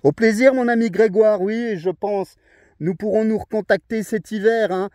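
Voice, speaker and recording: High-pitched Frenchman chatting on radio. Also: moderate pace, darkish tone. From France. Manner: chatty, friendly, tag words at phrase ends. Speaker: amateur radio operator. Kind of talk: casual radio contact chat.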